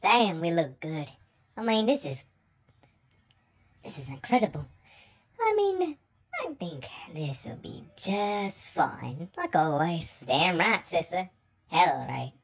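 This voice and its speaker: Casting: woman playing sultry cartoon she-hellhound, deep for a female voice, breathy, teasing, leisurely. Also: big pitch swings, slow, long pauses, full character voice. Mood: pleased, confident. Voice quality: breathy, husky. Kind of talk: amateur cartoon comic dub.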